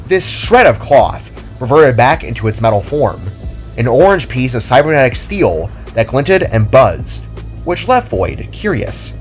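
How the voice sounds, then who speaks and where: Animated male narrator over soft music. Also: mid-range voice, unhurried. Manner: animated, expressive storytelling. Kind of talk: dramatic reading of an action story. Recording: music under the reading.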